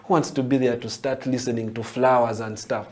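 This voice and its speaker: Animated Ugandan man telling his story. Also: medium pitch, brisk. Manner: animated storyteller, repeats words and restarts phrases. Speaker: poet and writer, interview guest. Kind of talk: storytelling interview answers.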